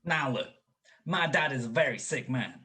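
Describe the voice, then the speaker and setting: A man playing a dopey, impulsive young ex-college football player in a radio-style comedy mystery, blustering and excitable. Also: medium pitch, moderate pace, lightly acted. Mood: suspicious, blustering.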